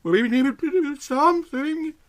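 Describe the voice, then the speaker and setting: A man's voice: extremely high pitch, a little slow, a bit bright, no room sound, voice pushed up. A man voicing a fretful, melodramatic woman in an overwrought, pleading manner for a comic story. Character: fretful, blurting, melodramatic young woman with a secret lover.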